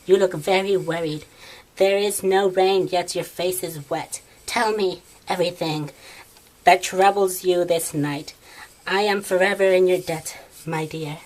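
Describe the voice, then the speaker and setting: A woman voicing a gentle, formal male suitor in a storybook puppet show, speaking slowly and courteously. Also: on the low side, steady tone, pitched below the performer's own voice, lightly acted, low-quality recording. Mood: gentle, concerned. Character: gentle, courtly male suitors: old mole, swallow, fairy prince.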